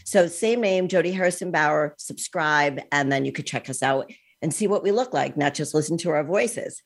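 A woman's voice: low-pitched, normal speed. A woman in her sixties hosting a podcast interview. She is chatty and enthusiastic and drops in ums as she talks. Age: 60s. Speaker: podcast host.

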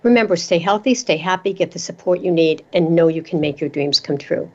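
A woman's voice: on the low side, fairly quick. A woman hosting a radio interview show, asking questions and murmuring along as her guest talks. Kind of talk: interview host chat. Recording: dull lo-fi sound.

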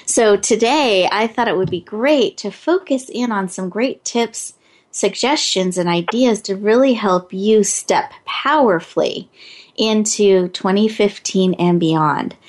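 A woman hosting a motivational talk show, her high voice set at an ordinary pitch for her. She talks playfully and often breaks into a giggle. Her tone is encouraging throughout.

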